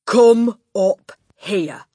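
A woman voicing a brutal, tyrannical female headmistress who shouts slowly and booms. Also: husky, no room sound, studio quality. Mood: barking. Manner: shouting, slow and booming.

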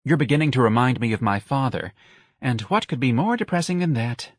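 A man's voice: medium pitch, big pitch swings, normal speed, a bit bright, no room sound, studio quality, pitched above the performer's own voice. Man putting on a camp, theatrical young man. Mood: weary, dramatic. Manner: camp, breathy, dramatic, gushing. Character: effeminate, theatrical young man, gushing and world-weary. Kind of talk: audiobook character acting.

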